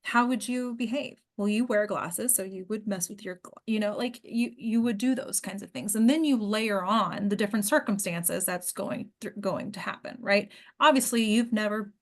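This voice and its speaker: A woman's voice: medium pitch, moderate pace, hardly any pauses. A woman hosting and coaching a casual group discussion, explaining things in an encouraging way. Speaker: host and acting coach.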